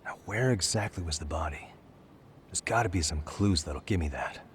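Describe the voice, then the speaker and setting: A very low male voice with an American accent, playing a calm, probing detective in a game cutscene. He talks casually and keeps things understated. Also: normal speed, slight background noise. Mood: focused. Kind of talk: video game cutscene acting, naturalistic.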